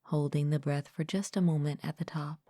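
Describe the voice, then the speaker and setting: A woman leading a guided meditation as a mindfulness coach, speaking gently and calmly in a soft, breathy, partly whispered voice, close to the mic. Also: low-pitched, no room sound. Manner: gentle, calm, unhurried with long pauses. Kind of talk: guided meditation, soothing scripted narration.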